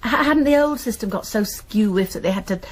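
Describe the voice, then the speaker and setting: An actress playing an inquisitive, sharp-minded college woman turned amateur sleuth in a radio drama, probing and thoughtful. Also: medium pitch, animated, fairly quick, natural voice. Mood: curious.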